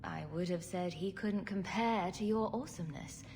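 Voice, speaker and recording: A wisecracking champion heroine from a video game, voiced by an actress. She speaks in a slightly low woman's voice with dry sarcasm. Some music plays behind her. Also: normal speed, a little mellow, lightly acted. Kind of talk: video game cutscene acting.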